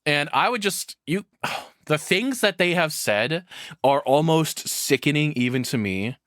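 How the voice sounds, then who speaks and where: A man's voice: fairly high pitch, expressive, a little slow, a bit bright, dry recording, clean studio sound. A man doing casual live reaction commentary in a rambling, frank, crudely humorous way. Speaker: reaction streamer.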